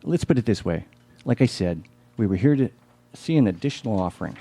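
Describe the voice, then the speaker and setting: Put-upon radio comedy husband, male actor, warm dark tone, lively intonation, wry disbelief. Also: on the low side, a little fast. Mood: dry, impatient. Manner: wry, incredulous. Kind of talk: live radio play acting.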